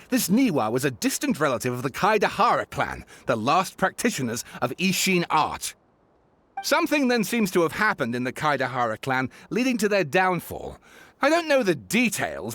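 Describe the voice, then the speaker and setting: A man playing an excitable, theatrical writer in a video game, telling spooky tales in a wordy, dramatic way over music. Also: on the high side, exaggerated voice. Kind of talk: video game character dialogue.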